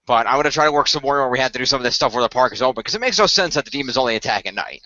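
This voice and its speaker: A man's voice: medium pitch, animated, rapid-fire, sharp tone, no room sound. A man hosting an online radio play, chatting casually and explaining things at length over a voice call. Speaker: co-creator and host of an improvised radio play. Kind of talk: casual post-game chat. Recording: slightly muffled voice-chat audio.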